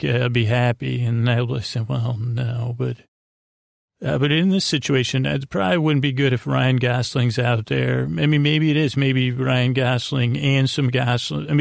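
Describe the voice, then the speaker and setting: Gravelly, creaky man rambling drowsily at bedtime. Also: mid-range voice, no room sound, studio quality. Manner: meandering, halting, trailing off mid-sentence. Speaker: podcast host. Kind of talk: rambling bedtime monologue to put listeners to sleep.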